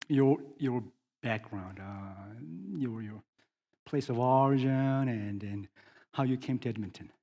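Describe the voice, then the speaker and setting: A man acting as pastor, interviewing a congregant on stage in a lively, teasing way, often restarting his questions. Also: medium pitch, lo-fi sound. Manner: animated, teasing, halting restarts.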